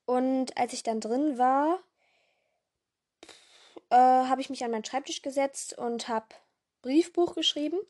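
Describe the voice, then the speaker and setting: Hoarse, chatty young woman. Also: high-pitched, unhurried, long pauses, a little mellow. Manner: playful, many fillers and self-corrections, voices jumping up and down. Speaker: podcast host. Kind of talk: rambling casual chat.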